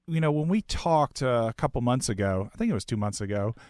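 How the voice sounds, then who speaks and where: A man's voice: mid-range voice, expressive, fairly quick, a little mellow, no echo, clean studio sound. A man hosting a radio interview in a conversational style. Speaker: radio show host.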